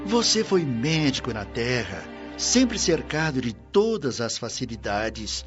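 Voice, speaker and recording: An actor playing a wise, paternal but stern spirit minister in a radio drama, admonishing solemnly with a Brazilian accent. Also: male voice, mid-range voice, very animated, takes it slowly. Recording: dull lo-fi radio drama audio. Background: music.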